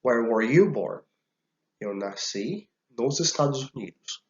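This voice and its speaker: A man teaching a recorded slide lesson, speaking deliberately and repeating each phrase for learners to follow. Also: medium pitch, animated, muffled recording. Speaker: language teacher.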